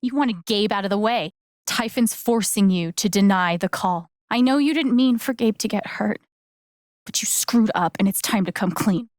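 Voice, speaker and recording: A woman's voice: mid-range voice, normal speed, no echo, clean studio sound, lightly acted. An actress playing a candid, wry young woman in a video game, speaking in a plain, conversational way. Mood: accusing, firm. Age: young adult. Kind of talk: naturalistic video game acting.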